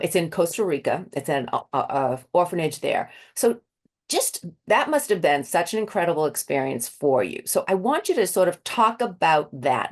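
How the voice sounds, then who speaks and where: Chatty woman with a Boston accent. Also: low-pitched. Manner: chatty, laughs often, restarts mid-sentence. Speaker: interviewer. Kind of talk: casual interview with a quiz.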